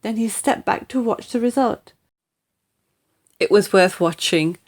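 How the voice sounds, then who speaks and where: A woman reading a children's story aloud in a deliberate, dramatic and expressive narrating style. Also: medium pitch. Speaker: audiobook reader.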